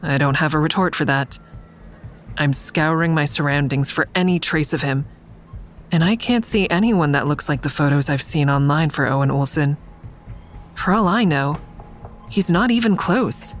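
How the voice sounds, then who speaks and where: A woman's voice: low-pitched, normal speed, soft background music, lightly acted. An actress playing a guarded, sardonic woman in an audio drama, dry and wry in dialogue and first-person narration. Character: guarded, sardonic woman, crisis counselor with secret kills.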